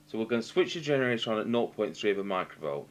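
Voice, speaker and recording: Low-voiced Englishman, halting, over humming noise. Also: sing-song. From England. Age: middle-aged. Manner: halting, thinking aloud. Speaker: radio engineer demonstrating repairs. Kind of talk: unscripted technical demonstration. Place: indoor workbench, voice off camera. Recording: noticeable background noise with hum.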